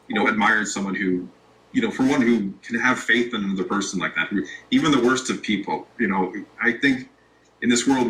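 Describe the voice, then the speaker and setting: A man with a fairly low but bright voice chats casually as an interview guest. He rambles and restarts his sentences as he goes. A faint background noise sits under the recording. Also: poor audio. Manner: relaxed, rambling, many restarts. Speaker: YouTube creator, guest.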